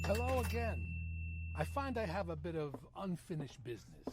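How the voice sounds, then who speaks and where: A man's voice: sits high, big pitch swings, normal speed, loud music behind the voice. A man playing a casual, understated retired New York mobster in a comedy audio drama. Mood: ominously polite.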